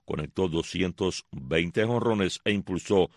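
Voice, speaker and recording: A man's voice: low voice, a little slow, darkish tone, dry recording, studio quality. A man presenting the morning sports segment on the radio, in an emphatic announcer style. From Cuba. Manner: emphatic, declaiming announcer delivery.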